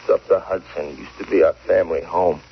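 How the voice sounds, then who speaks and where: A man's voice: low-pitched, steady tone, brisk. An actor playing a bitter, jilted young man convinced of a plot, in dramatic, sardonic 1940s radio suspense style. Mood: agitated, suspicious. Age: young adult. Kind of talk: 1940s radio acting, first-person suspense narration. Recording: dull, faintly noisy old radio recording.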